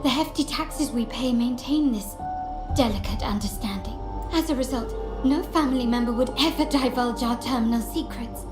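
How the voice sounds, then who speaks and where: A woman playing a poised young heiress in a video game, speaking in a composed, formal, measured way. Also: on the high side, lightly acted. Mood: composed, explanatory. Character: poised young heiress of a powerful merchant family. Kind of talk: scripted video game voice acting. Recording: roomy echo, music behind. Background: game music.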